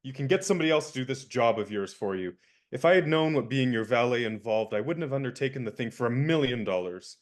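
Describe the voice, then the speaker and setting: A young man acting an indignant valet in a radio play, crisp and lecturing. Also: medium pitch, animated, fast talker, close to an everyday voice.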